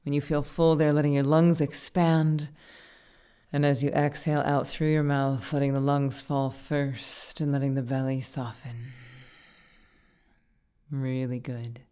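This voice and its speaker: A woman guiding a meditation, speaking in short, unhurried phrases with gentle, soothing instruction and frequent pauses. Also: rumbling low, flat delivery, clean tone. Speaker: meditation teacher and guide.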